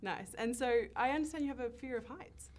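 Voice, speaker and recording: A woman's voice: a little high, fairly quick, a little mellow. A woman interviewing an actor, chatty and giggly as she asks her questions. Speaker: film interviewer. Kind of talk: casual press junket interview.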